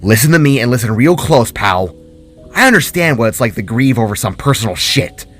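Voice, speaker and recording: A man's voice: medium pitch, animated, a little fast, a bit bright, faint music behind, close to an everyday voice. A man voicing a hostile, hurting female spark with ice powers in a story, stern, barking and sarcastic.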